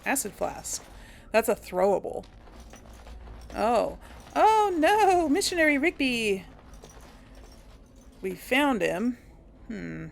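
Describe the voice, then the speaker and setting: A woman's voice: a little high, sing-song, slow, vocal fry, pauses often. A young adult woman giving casual commentary on a game she plays, reacting aloud with laughs and wry remarks. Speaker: let's play host.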